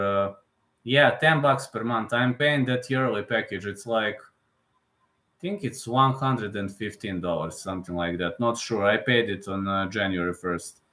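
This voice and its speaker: A man's voice: mid-range voice, a bit bright. Serbian-accented man talking fight analysis. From Balkans. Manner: opinionated, lecturing, frequent hesitations. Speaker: MMA analyst streamer. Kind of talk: casual livestream chat answering viewers.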